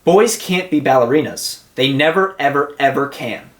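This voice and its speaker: A smug, teasing big-brother boy read by a man from a picture book, dismissive and sure of himself. His pitch moves about a lot, in an echoing room. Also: animated, moderate pace, close to an everyday voice. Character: scornful know-it-all older brother, a boy. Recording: roomy, echoing sound.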